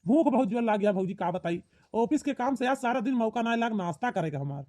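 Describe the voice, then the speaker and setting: A man's voice: very high-pitched, lively intonation, brisk, a little mellow. A man voicing a proud, defensive young husband in a village cartoon, protesting and making excuses with an Awadhi accent. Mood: hungry, eager. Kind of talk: rustic cartoon comedy dialogue.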